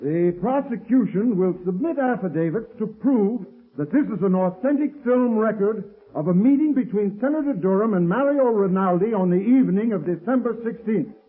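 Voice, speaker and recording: An actor playing a confident prosecutor who speaks in a crisp, formal way, in a 1930s radio courtroom drama. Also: male voice, high voice, lively intonation, normal speed. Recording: tinny scratchy 1930s radio recording.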